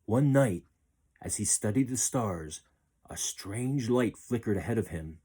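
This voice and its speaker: Guy reading a kids story, lowish voice, kinda husky, expressive and deliberate. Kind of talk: children's story read aloud.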